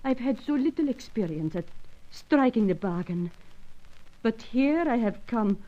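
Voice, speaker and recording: High-voiced, swooping Latin seductress. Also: female voice, expressive, a little slow. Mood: desperate pleading. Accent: Latin American. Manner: theatrical pleading, foreign phrasing. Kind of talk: old-time radio spy serial parody acting. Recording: dull lo-fi radio sound.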